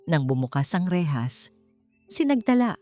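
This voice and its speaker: Low-pitched woman narrating drama over music. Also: lively intonation, normal speed, a little mellow, dry recording. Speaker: audiobook narrator. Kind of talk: dramatic scripted story narration. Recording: music bed behind a dry voice. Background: background music.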